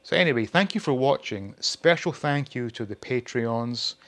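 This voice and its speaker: A man's voice: mid-range voice, expressive, moderate pace, a bit bright. A man in his forties hosting a casual hobby review, chatting wryly to his viewers. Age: 40s. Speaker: host of a retro toy channel.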